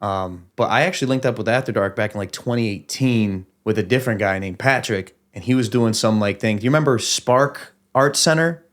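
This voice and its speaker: Young guy from upstate ny, rapper guest on a podcast, low-ish voice, rambly casual talk. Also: brisk, hardly any pauses. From upstate New York. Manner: loose and rambling, many restarts.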